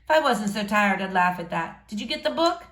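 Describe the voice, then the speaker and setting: Jovial, folksy male mentor played by a woman reader, storybook read-aloud, animated intonation, echoey room. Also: mid-range voice, a little fast, a little hiss or hum, close to an everyday voice. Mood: tired, teasing. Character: Mister Mac, jovial, booming adult man, book-loving mentor. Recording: roomy, echoing room sound.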